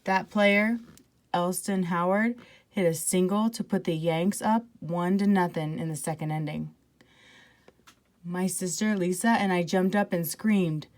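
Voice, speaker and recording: A woman's voice: lowish voice, steady tone, measured pace, a little mellow. A woman teacher reading a children's picture book aloud expressively, with casual asides to her listeners.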